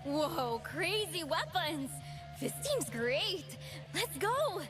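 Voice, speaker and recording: Casting: young female game vigilante, scrappy and determined, high and very animated, punchy quips. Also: drawn-out words, over music, exaggerated voice. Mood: upbeat, determined. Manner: eager, punchy, short bursts of battle quips. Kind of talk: video game character voice lines.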